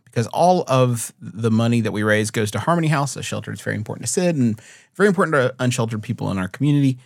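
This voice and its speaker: Animated joking male podcast co-host. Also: medium pitch, quick pace, creaky, no echo, clean studio sound. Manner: loose, joking, rambling with many restarts. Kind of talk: casual comedic chat.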